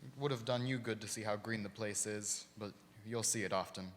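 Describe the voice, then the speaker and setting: An actor playing a gentle, grieving father and husband in live radio theater, speaking tenderly and with measure. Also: fairly low pitch, fairly even intonation, fairly quick, slight background noise, natural voice. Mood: tender, subdued. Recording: reverberant hall.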